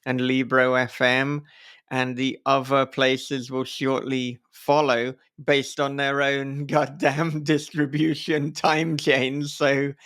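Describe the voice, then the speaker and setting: A man's voice: mid-range voice, little pitch movement, takes it slowly, fairly bright tone, smooth. A man hosting a casual podcast conversation, talking effusively in long, wordy sentences with the odd casual swear word. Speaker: podcast host and author.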